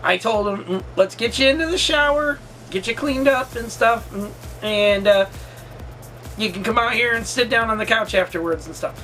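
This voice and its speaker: Male, 40s, casual storytime vlogger. Bright, forceful delivery, rambling and repetitive, faint music underneath. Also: very high voice, low-quality recording. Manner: rambling, emphatic, repeats himself.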